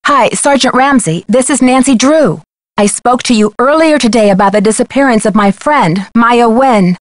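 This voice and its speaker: A woman's voice: on the high side, moderate pace, no room sound, close to an everyday voice. A woman playing an earnest, polite and persistent young amateur detective in a video game. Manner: polite, earnest, clearly spoken.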